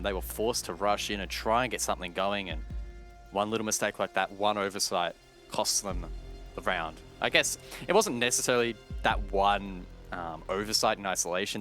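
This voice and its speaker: Forceful low-voiced esports analyst. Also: male voice. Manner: analytical, thinks aloud with fillers. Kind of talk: live esports color commentary.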